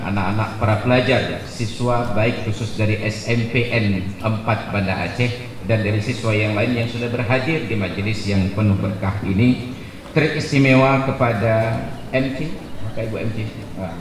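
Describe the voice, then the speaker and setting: A man in his forties giving a jokey, animated sermon at a gathering, building to loud emphatic peaks. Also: fairly low pitch, moderate pace. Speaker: preacher. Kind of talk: humorous sermon at a religious gathering. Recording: echoey hall with background noise.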